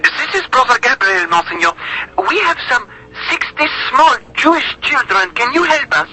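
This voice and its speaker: An actor playing an anxious, devout monk pleading for help over the telephone in a radio drama. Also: male voice, very high voice, very animated, moderate pace, bright, forceful tone, full character voice, poor audio. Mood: anxious, grieving.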